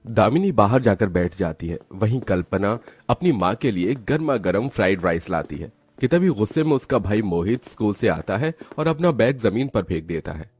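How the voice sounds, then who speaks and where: A man's voice: lowish voice, big pitch swings, moderate pace, few pauses, darkish tone, slightly rough, dry recording. A man reading scripted storybook narration for a cartoon.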